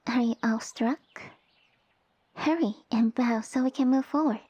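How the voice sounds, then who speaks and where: A woman voicing a small, haughty young snake-girl noble in an ASMR roleplay, speaking softly with pompous, formal condescension. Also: a little high, measured pace, breathy edge, no echo, lo-fi sound. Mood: impatient. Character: small haughty young lamia noblewoman, sassy and easily offended. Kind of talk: ASMR audio roleplay acting. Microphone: close dry microphone.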